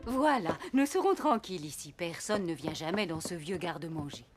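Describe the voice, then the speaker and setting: A woman voicing Santa's kind, conspiratorial female helper in a French cartoon, with an expressive, coaxing delivery. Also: fairly low pitch, normal speed, natural voice. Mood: secretive. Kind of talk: French animated series dubbing. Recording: music behind the voice.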